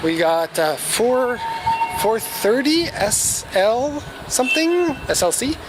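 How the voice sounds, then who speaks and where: A man's voice: extremely high pitch, expressive, slow pace. A man giving casual, off-the-cuff vlog commentary as a host, rambling along in an informative, opinionated way. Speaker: host of car auction walkaround video. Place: outdoors at a car auction lot. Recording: loud outdoor noise with vehicle sounds.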